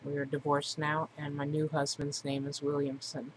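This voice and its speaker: A woman's voice: low-pitched, monotone, normal speed. Woman, forties, candid and reflective vlogger speaking casually, noisy amateur recording. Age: 40s. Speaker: vlogger introducing themself. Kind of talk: personal monologue to camera, casual.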